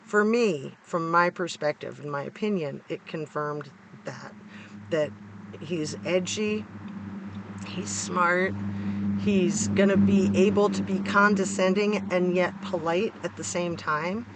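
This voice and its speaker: Hoarse, higher-pitched middle-aged American man. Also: slow, background noise. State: hoarse, congested from a cold. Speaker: true-crime vlogger. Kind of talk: casual opinion commentary to viewers. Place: inside a parked car.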